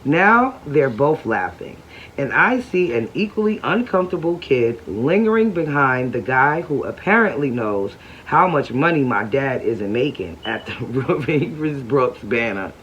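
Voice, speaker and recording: An adult reading a novel aloud at home slowly and carefully, with small stumbles. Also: medium pitch, very animated, fairly bright tone, highs cut off, slight background noise. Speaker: read-aloud reader and reading coach.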